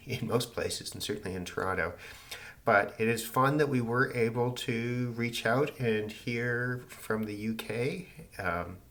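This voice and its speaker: A man's voice: medium pitch, a bit bright. A man giving a casual, hesitant narrated demonstration as a shortwave radio hobbyist, musing and trailing off. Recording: clear radio static and hiss. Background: shortwave radio noise.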